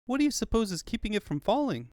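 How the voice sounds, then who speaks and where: Man voicing a chipper, higher-pitched young cartoon fox. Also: big pitch swings, fast talker, darkish tone, dry recording, clean studio sound. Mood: curious, chipper. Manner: quippy, innocent questioning. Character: chipper, wisecracking young male fox sidekick. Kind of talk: comic book fandub acting.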